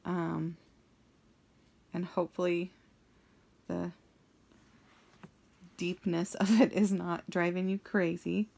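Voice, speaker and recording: Young woman, deep monotone voice with some gravel, unhurried rambling craft-vlog chatter. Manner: slow, meandering, many ums and trailing thoughts. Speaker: craft vlogger. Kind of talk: rambling chat while crafting.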